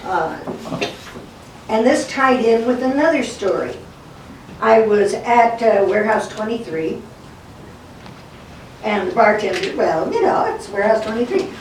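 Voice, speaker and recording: A woman's voice: fairly high pitch, animated, a little slow, pauses often, darkish tone, a little hiss or hum. Older woman storyteller in an echoey room. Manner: folksy, conversational storyteller, acting out quoted dialogue. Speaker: guest speaker, local historian. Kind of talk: live storytelling talk of local ghost stories and history. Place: indoor talk at a historic log cabin. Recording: roomy, distant microphone, music behind.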